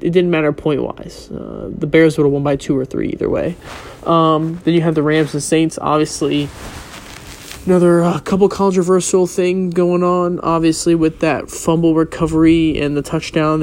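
A man's voice: on the high side, darkish tone. Young man, stuffy cold voice. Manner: rambling, many ums and restarts. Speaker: vlogger. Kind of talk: casual solo talk on football and sneakers. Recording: faint background noise.

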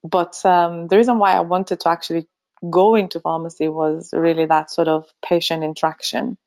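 A middle-aged woman from Finland speaks as an interview guest with a fairly low voice. She talks thoughtfully, with many ums, and laughs easily. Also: flat delivery, normal speed, darkish tone. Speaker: pharmacy association chief executive, guest. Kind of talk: remote interview.

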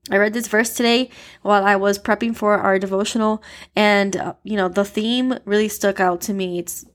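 A woman's voice: medium pitch, steady tone, normal speed. A woman from Florida hosting a devotional podcast, stuffy and a bit nasal with congestion, chatting earnestly and leading a prayer. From Miami, Florida. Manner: earnest, upbeat and chatty, with fillers. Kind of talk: casual devotional talk and spoken prayer.

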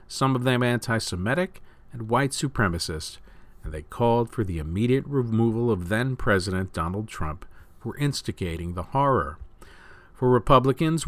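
A man reading scripted news as a host, with a measured, deliberate announcer delivery. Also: medium pitch, fairly bright tone, no room sound.

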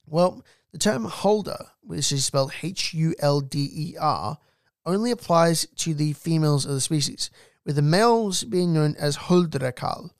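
A man hosting his own podcast, talking straight to the listener with firm emphasis and quick asides. His voice sits a touch high for a man and comes through smooth and resonant. The close, dry recording has almost no room sound. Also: expressive, no echo. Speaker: podcast host. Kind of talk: solo podcast narration on folklore.

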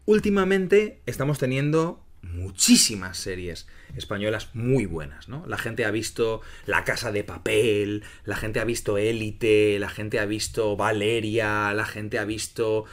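A man's voice: fairly low pitch, unhurried, few pauses. A middle-aged man from Spain hosting a casual podcast and explaining ideas for learners, often ending points with a questioning tag. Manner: explains terms clearly, often asks "¿no?". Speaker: podcast host and Spanish teacher.

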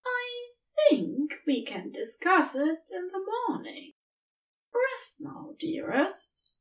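A woman voicing a tender, genteel male tree spirit, breathy and full of feeling, in a storybook reading. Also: very high voice, big pitch swings, slow, recorded from a distance, pitched above the performer's own voice, exaggerated voice.

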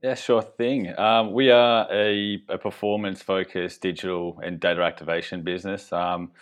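Deep, flat-voiced Australian man. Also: normal speed. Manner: businesslike, with many ums and you-knows. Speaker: company co-founder and guest. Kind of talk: conversational interview answers.

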